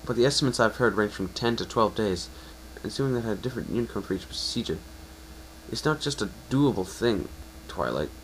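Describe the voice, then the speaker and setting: A man voicing a guarded, wry winged pony, resigned and gently teasing, in an amateur fan fiction reading. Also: on the low side, expressive, brisk, a little hiss or hum, lightly acted, low-quality recording.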